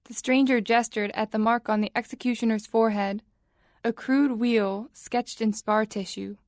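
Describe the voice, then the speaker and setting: A woman narrates a dramatic tale in a slightly high voice. Her delivery is measured and suspenseful, recorded close and dry. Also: steady tone, no room sound. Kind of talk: scripted story narration.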